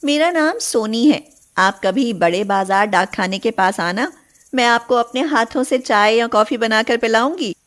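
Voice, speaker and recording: A woman's voice: a little high, lively intonation, a little slow, a bit bright. A woman voicing a poor, polite young college girl who speaks sincerely in a story. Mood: grateful, polite.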